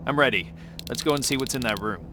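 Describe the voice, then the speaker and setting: A man's voice: medium pitch, quick pace, background noise, lightly acted. A man voicing an earnest, questioning young resistance soldier in a video game. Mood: inquisitive.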